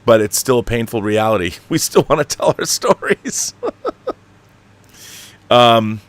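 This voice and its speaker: A man's voice: mid-range voice, expressive, a little slow, no room sound. Man chatting casually as a stream host. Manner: opinionated, rambling, conversational. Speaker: live stream host answering viewer messages. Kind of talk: casual off-the-cuff chat, reading out viewer comments.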